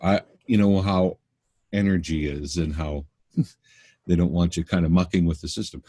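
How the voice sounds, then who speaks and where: Deep-voiced Canadian man, earnest. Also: steady tone, mellow, slightly rough, no room sound, clean studio sound. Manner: earnest, halting with restarts. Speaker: spiritual workshop teacher. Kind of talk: casual interview answers.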